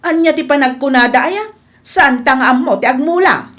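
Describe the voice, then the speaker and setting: A woman's voice: high-pitched, moderate pace, a bit bright. A woman acting a chatty, eager rural wife in a comedy radio drama, with an Ilocano accent. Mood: nosy, indignant.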